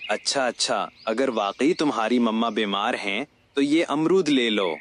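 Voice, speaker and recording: A man's voice: medium pitch, measured pace, a bit bright, a little hiss or hum, natural voice. A man voicing an old crow uncle in a children's cartoon, kindly and given to admonishing the young. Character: old male crow uncle, gentle but scolding. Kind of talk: Hindi children's cartoon dub.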